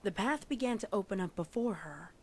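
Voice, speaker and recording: A woman's voice: medium pitch, lively intonation, brisk, a little mellow, slight background noise. A woman narrating a story in a scripted fan fiction reading.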